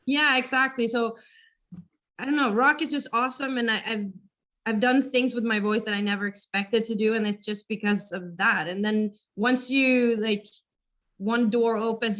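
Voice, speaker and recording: A young woman with a naturally raspy voice talks as a guest in a casual interview. She hesitates, restarts and fills gaps with "like" and "you know". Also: fairly high pitch, steady tone, moderate pace, a bit bright. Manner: casual, hesitant, laughs often. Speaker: band vocalist, interview guest.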